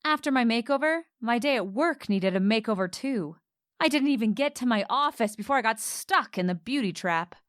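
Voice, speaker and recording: An actress plays a driven, prickly professional woman in a romantic comedy radio play. Her voice sits fairly high, and she delivers her lines bluntly and dryly, with little patience. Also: lively intonation, normal speed, no echo, studio quality, close to an everyday voice. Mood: wry narration. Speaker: lead actor and first-person narrator.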